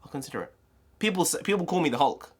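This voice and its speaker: A congested young man, talking casually and rambling excitedly as a channel host. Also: fairly high pitch, rapid-fire. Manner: rambling, excitable, full of restarts. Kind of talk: casual solo commentary.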